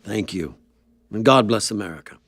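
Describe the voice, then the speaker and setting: An actor playing a measured, statesmanlike president in a TV interview, speaking deliberately, gravely and diplomatically. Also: male voice, fairly low pitch, animated, a bit bright. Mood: courteous. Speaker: politician being interviewed. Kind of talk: formal political interview.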